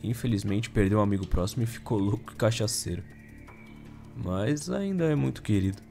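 Deep-voiced, dutiful police soldier. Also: male voice, lively intonation, fairly quick, gravelly, warm tone, soft background music. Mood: guarded, informative. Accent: Brazilian. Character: young male police soldier, dutiful, wary, by-the-book. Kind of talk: audio drama acting.

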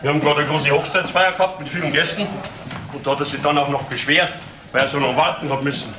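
A man's voice: a little high, fairly even intonation, fast talker, close to an everyday voice. A man playing a helpful, talkative restaurant witness in a German crime radio play. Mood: helpful, chatty. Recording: roomy, clearly noisy.

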